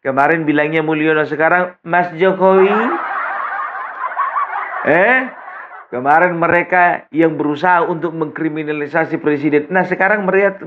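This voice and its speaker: A man from hosting a political commentary monologue, mocking and laughing often as he talks. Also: a little high. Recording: muffled audio with steady background noise.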